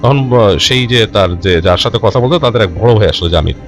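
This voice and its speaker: A man telling a horror story informally and unscripted, in a halting, conversational way with repeated phrases. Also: fairly low pitch, expressive. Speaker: storyteller of a ghost story show. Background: background music.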